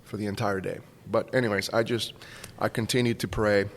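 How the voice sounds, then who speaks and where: A man's voice: lowish voice, little pitch movement, a little fast, big-room sound, a little hiss or hum. A man in his forties from Cuba, casually telling a personal story as a podcast guest. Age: 40s. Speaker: school staff member, podcast guest.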